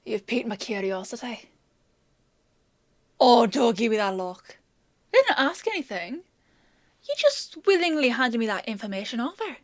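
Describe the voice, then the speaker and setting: A woman's voice: on the high side, big pitch swings, normal speed, pauses often, no echo, clean studio sound. A woman playing a bossy, teasing female apothecary in a fantasy audio roleplay, with a chatty, sardonic, playfully scolding manner.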